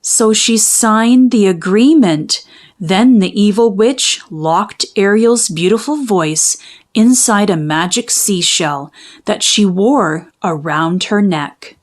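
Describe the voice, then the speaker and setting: A woman reading a children's story aloud in expressive, dramatic, carefully phrased narration. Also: medium pitch, slow. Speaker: storybook reader.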